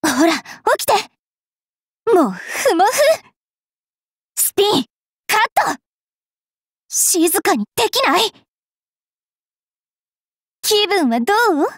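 A woman voicing a bookish, sharp-tongued young fairy witch knight in an anime mobile game, switching between prim politeness and rough taunts. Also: extremely high pitch, very animated, slow, long pauses, dry recording, clean studio sound, exaggerated voice. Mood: fierce, battle cries.

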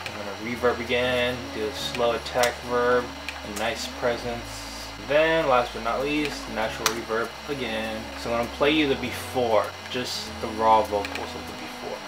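A young man with a bassy voice, talking through a home music tutorial in a relaxed, slangy, self-deprecating way, recorded in a small room at home. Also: moderate pace, background noise. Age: young adult. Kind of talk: casual screen tutorial.

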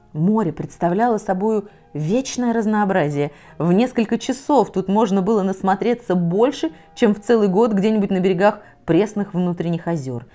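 A middle-aged woman reading a children's fairy tale aloud in a soft, measured way, sometimes sinking almost to a whisper. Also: lowish voice. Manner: soft, measured, often dropping to a near whisper. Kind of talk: gentle children's story reading. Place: cozy living room set with bookshelves, in an armchair.

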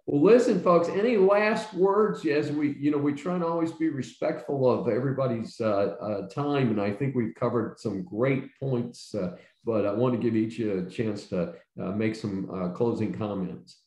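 A man's voice: medium pitch, animated, unhurried, a little mellow, echoey room. An older man hosting a casual panel discussion over a video call from his home study, rambling with many fillers and restarts. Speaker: host. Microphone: video call webcam.

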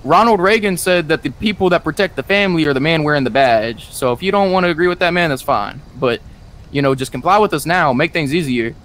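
A man's voice: a little high, animated, quick pace, a bit bright. A man playing a casual, argumentative police officer in an online role-play game, insistent and repeating himself. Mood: explaining. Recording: gaming voice chat with background noise.

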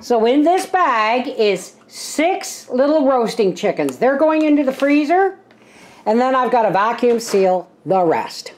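A woman's voice: a little high, sing-song, measured pace, faint music behind. An older woman, giving a casual, plain-spoken, folksy vlog narration in her home kitchen. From Canada. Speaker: homesteading vlogger and host.

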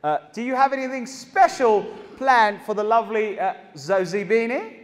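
A South African man in his thirties doing stand-up comedy on a large theatre stage with a handheld mic, animated and acting out characters. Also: high voice, moderate pace. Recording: live hall with audience.

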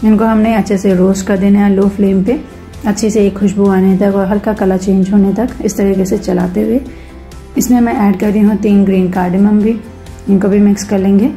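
Even-toned Indian cooking vlogger woman. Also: medium pitch, fairly quick, soft background music. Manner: step-by-step instructive, mixing English cooking terms into Hindi. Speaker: cooking channel host. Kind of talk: casual recipe voice-over.

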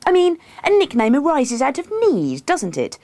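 A woman's voice: high voice, wildly swooping pitch, normal speed, a little mellow, dry recording, pitched above the performer's own voice, full character voice. A woman voicing a needy, insistent wife, pleading and indignant, in a comic book reading.

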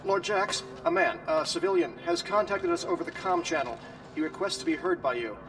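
An actor playing an urgent, dutiful armored soldier reporting in a science-fiction audio drama. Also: male voice, extremely high pitch, huge pitch swings, a little fast, gravelly, far from the mic, over music, full character voice. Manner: military reporting. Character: urgent, dutiful male armored Imperial trooper.

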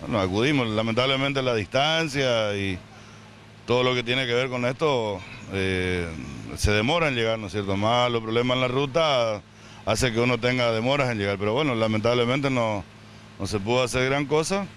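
An older man, a fire chief, speaks into a handheld mic outdoors at a middling pitch, rambling and adding tag questions. Faint outdoor noise sits behind him. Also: moderate pace, a bit bright. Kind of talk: on-the-spot news interview. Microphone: handheld reporter mic.

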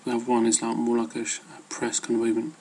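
Flat, gravelly man rambling casually. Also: mid-range voice, rapid-fire, a little hiss or hum. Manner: hesitant, loosely worded. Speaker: amateur fitness vlogger. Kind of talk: casual rambling advice talk.

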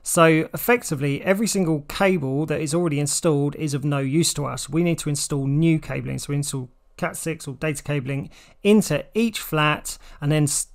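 An English man in his forties presents a tech tutorial in a somewhat high-pitched voice. He explains things step by step, informally and in plain terms, as an instructor would. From United Kingdom.

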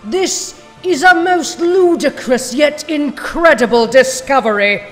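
Villainous woman scientist, acted: imperious, disdainful, unhurried delivery, long reverb, faint music. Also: high-pitched. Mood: fascinated, haughty. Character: haughty, scornful female renegade scientist. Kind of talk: audio drama acting.

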